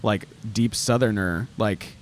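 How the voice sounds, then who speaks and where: A man's voice: lowish voice, slow. A man from Florida hosting a casual chat, rambling and peppering his talk with "like" and "you know". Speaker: interviewer, host. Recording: clear background noise.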